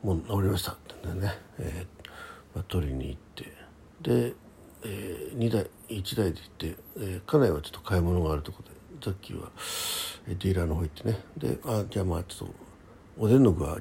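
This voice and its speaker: A man, probably middle-aged, chatting casually by himself as a radio host, halting and thinking aloud in short polite phrases. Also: low-pitched, expressive, creaky, dark tone, a little hiss or hum. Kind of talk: casual solo chat about daily life.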